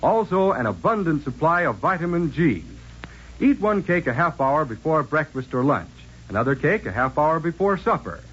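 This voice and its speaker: Animated husky male vintage radio announcer. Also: a little high, moderate pace. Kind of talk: scripted 1940s radio advertising. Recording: muffled old radio recording.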